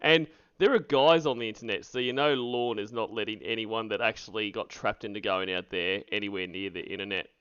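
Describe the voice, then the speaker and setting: A man's voice: lowish voice, fairly quick, few pauses, a bit bright, smooth, no room sound. A man hosting a livestream, giving casual, sarcastic commentary in a mocking, profane way. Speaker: livestream host reacting to recorded calls. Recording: dull lo-fi stream audio.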